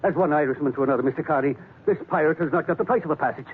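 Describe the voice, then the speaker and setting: A man's voice: fairly high pitch, rapid-fire, phone-quality sound, lightly acted. A man playing a bitter, agitated doctor in a 1940s radio drama, speaking in a scornful, accusing, querulous manner. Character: bitter, agitated Irish doctor stranded in tropics. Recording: thin old 1940s radio recording, faint noise.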